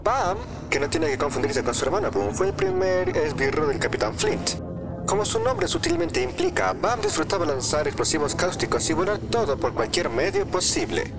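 A man's voice: fairly high pitch, sing-song, normal speed, music in the background. A man with a Latin American Spanish accent voicing a theatrical, macabre museum announcer, reading florid tour narration for a game dub. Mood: theatrical, presentational. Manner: florid, presentational.

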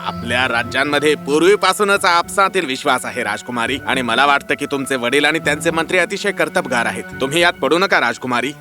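Man acting royal prime minister, pompous, scheming, formal, forceful tone, cartoon villain, faint music. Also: fairly high pitch, quick pace, few pauses. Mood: smooth, condescending. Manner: condescending, formal.

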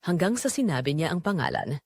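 A woman's voice: low voice, very animated, brisk, darkish tone, breathy edge, no room sound. A woman with a Filipino accent narrating a scripted audio drama in the first person, in a dramatic, confessional way. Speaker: narrator telling the story as its main character.

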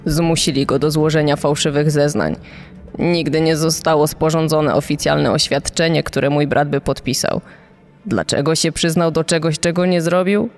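A man's voice: fairly high pitch, fairly even intonation, normal speed, close to an everyday voice. A man voicing a bitter, resigned sibling's testimony in a dramatised documentary. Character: sibling recalling a family injustice, bitter and resigned. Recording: music under the voice.

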